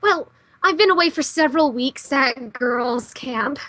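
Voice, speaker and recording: High-pitched, fluttery schoolteacher played by a woman. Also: expressive, measured pace, bright, forceful tone, no echo, exaggerated voice. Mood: prim, nervous.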